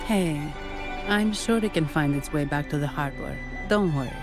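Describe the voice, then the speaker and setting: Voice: medium pitch, moderate pace, lightly acted. An actor voicing a friendly, upbeat young adventurer in a video game, speaking in a reassuring way. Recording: game audio under music.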